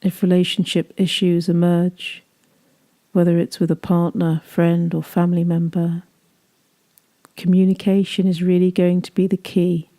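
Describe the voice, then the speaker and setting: A woman leading a guided meditation, speaking gently in soothing, unhurried phrases that trail off softly. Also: on the low side, flat delivery.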